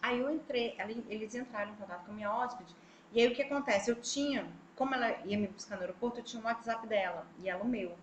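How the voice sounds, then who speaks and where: A woman from Brazil with a Brazilian Portuguese accent, a travel vlogger telling her story in a casual, animated way and talking straight to her viewers. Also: fairly high pitch, quick pace, a little hiss or hum. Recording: echoey room, faint ambience.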